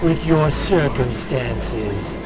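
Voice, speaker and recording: An actor voicing a cruel, mocking monster that speaks as "we" in an audio drama, slow and taunting with scornful laughs. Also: mid-range voice, animated, very noisy.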